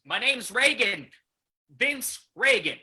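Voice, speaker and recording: Man acting a high-voiced, rattled boozy saloon keeper. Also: very animated, slow, pushed and bright, breathy edge, heavily acted. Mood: rattled, frightened. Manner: stammering, blustering. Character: shifty, hard-drinking saloon keeper rattled by an unseen voice. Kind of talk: old-time radio drama acting. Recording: noisy recording with clicks.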